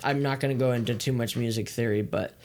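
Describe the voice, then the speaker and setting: A man's voice: mid-range voice, fairly even intonation, fast talker, darkish tone. A man who leads worship, chatting casually on a podcast and explaining things loosely with restarts. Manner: rambling, restarts mid-thought, explains loosely. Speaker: worship leader and podcast co-host.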